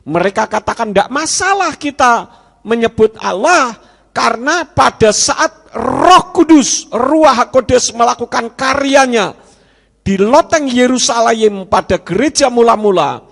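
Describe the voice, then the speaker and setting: A man's voice: extremely high pitch, lively intonation, a little slow, creaky, fairly bright tone. A man preaching a sermon to his congregation, his emphasis rising and dropping. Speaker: pastor. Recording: reverberant hall.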